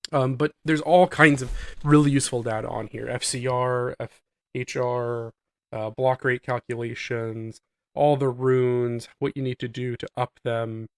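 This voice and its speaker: A man with a nasal voice, getting over a cold, giving a relaxed, explanatory walkthrough of his game setup. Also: mid-range voice, dry recording, clean studio sound. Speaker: gaming channel host. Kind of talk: casual gameplay guide commentary.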